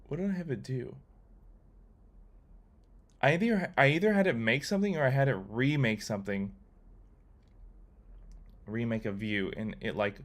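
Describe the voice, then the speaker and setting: A young man on a laid-back coding livestream, talking loosely to his chat with plenty of ums and restarts. His voice sits at an ordinary middle pitch, and keyboard typing is heard behind him. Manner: relaxed, rambling, reads chat aloud with many fillers. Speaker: livestreamer learning to code.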